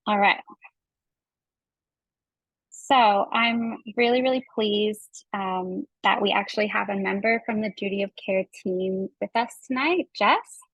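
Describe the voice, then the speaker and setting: Canadian lady running an online workshop, bit of a husky voice, pretty dry audio, friendly and informal. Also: medium pitch, no echo, muffled recording. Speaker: workshop host and facilitator. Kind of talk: presenting an online workshop to participants. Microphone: video call.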